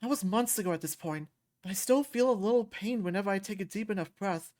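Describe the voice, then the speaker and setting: A woman reading a visual novel aloud as expressive first-person story narration. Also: medium pitch, fast.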